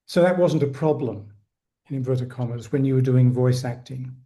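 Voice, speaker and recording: A man's voice: mid-range voice, normal speed, mellow. A man hosting a podcast interview, asking probing questions and giving brief backchannels. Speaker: podcast host.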